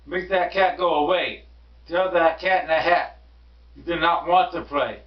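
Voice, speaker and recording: A man's voice: fairly high pitch, steady tone, unhurried, voice pushed up, lightly acted. A man voicing an anxious, fussy pet goldfish in an alarmed, protesting storybook voice. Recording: roomy, distant, dull sound.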